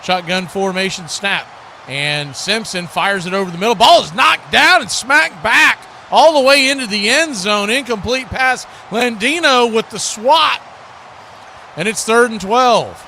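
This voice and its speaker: A man's voice: high-pitched, expressive, measured pace, bright, forceful tone, slight background noise. An American man giving live play-by-play football commentary as host, in short, clipped present-tense calls.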